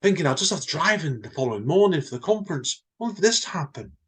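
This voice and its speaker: A man voicing an outraged, booming managing director in a short story reading. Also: sing-song, fast talker, breathy edge. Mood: defensive. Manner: booming, indignant. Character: outraged male managing director, loud and exasperated.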